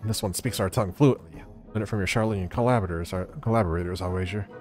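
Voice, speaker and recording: A man's voice: low voice, quick pace, soft background music, lightly acted. Man doing a bombastic tabloid conspiracy reporter. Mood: accusing. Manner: bombastic, declamatory, stammering in alarm. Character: excitable conspiracy-minded male tabloid reporter, pompous and dramatic.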